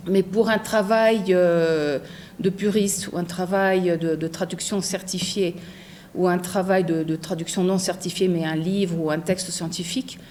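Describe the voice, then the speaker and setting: Thoughtful woman translator, mellow voice. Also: fairly low pitch, steady tone, a little slow, a little hiss or hum. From France. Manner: thoughtful, many fillers, frequent tag "non?". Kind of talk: interview answers.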